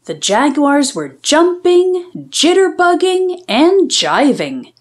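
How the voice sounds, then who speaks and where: A woman reads a children's picture book aloud in a high, very animated voice. She takes her time with each phrase, giving it a deliberate, sing-song shape suited to preschoolers. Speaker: children's read-aloud storyteller.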